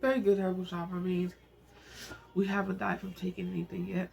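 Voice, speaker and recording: Male amateur reader, high and creaky, soft and emotional storytelling with casual asides. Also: monotone, fairly quick, a little hiss or hum. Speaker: amateur book reader and channel host. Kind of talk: reading a novel aloud, with informal asides.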